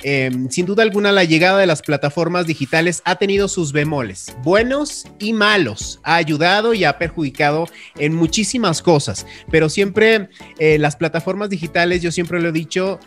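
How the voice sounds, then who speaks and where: Mexican guy hosting live radio, voice a bit high, formal and emphatic announcer talk. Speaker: radio host.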